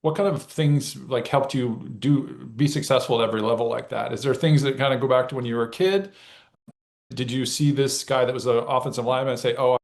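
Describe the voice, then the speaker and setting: A man's voice: medium pitch, fairly even intonation, brisk, creaky. A man hosting a podcast, welcoming his guest and asking questions in an upbeat, conversational way. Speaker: podcast host. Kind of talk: interview hosting.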